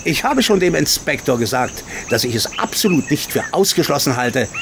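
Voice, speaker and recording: An actor playing a haughty, sceptical colonel and racehorse owner in a radio play, blunt and condescending. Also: male voice, fairly high pitch, sing-song, moderate pace, background noise. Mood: cool, disdainful. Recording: outdoor insect ambience behind.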